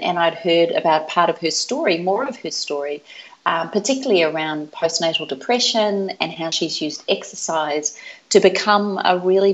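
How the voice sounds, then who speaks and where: A woman co-hosting a casual podcast, telling stories in an effusive, gushing way. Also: fairly low pitch. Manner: warm, effusive storytelling. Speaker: podcast co-host. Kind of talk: casual chat. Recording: dull lo-fi sound.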